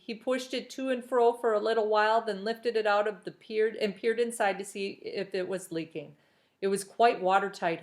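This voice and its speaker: A woman reads a children's novel aloud in an expressive storytelling style, shaping the phrases with the drama of the tale. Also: a little high. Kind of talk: expressive storybook narration with brief asides to listeners.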